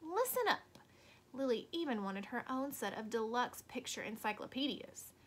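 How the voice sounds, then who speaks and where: A woman reading a children's storybook aloud expressively, with deliberate dramatic emphasis. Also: on the high side. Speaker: storybook reader.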